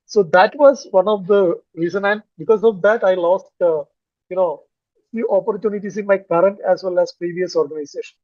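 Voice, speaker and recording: A high-voiced man from Kerala speaks English with a Malayali accent, pausing often on fillers and restarting his sentences. The sound is dry and dull, like muffled video call audio. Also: no echo. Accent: Indian English, Malayali. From Kerala, India. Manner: hesitant, many fillers and restarts. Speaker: English learner, finance manager. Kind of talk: casual conversation on a video call.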